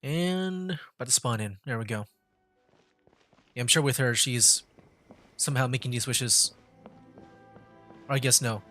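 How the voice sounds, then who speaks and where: A man's voice: medium pitch, a little slow, long pauses, sharp tone, dry recording. A man chatting casually as he commentates on a game for a livestream. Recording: game music in the background.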